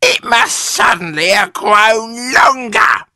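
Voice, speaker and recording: A man voicing a shrill, gullible, panicky old wife in a children's storybook, wailing and drawing out the exclamations. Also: expressive, bright, forceful tone, no echo, clean studio sound, heavily acted. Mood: bewildered alarm. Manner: shrieking, wailing, drawn-out exclamations.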